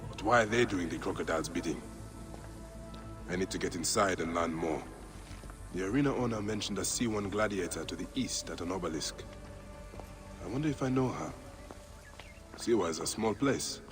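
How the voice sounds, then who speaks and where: An actor playing a stern, resolute Egyptian warrior bent on revenge in a video game cutscene, grim and terse. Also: male voice, low voice, normal speed, pauses often, music in the background, natural voice. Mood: determined, pensive. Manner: grim, determined.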